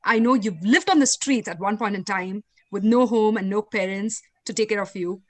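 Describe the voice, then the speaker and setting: A woman's voice: medium pitch, moderate pace. A woman moderating a panel discussion, speaking with polished, emphatic phrasing.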